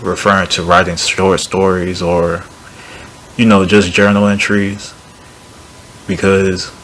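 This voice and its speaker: A young man with a low, deep voice speaks in an even, fairly flat tone as he vlogs to his channel. His delivery is relaxed and rambling, full of restarts and fillers. A faint hiss of room noise sits behind him. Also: poor audio. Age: young adult. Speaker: language learning YouTuber. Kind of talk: casual vlog talk to camera.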